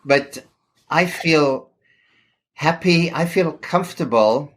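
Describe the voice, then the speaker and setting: Middle-aged man, measured and hesitant, interview guest. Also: on the high side, fairly bright tone. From South Africa. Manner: thoughtful, hesitant with fillers. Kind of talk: podcast interview.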